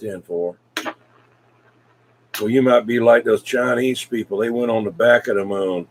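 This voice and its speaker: Man, mid-pitched, folksy, rambling, halting casual CB radio chat. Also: poor audio. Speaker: CB radio hobbyist, video maker.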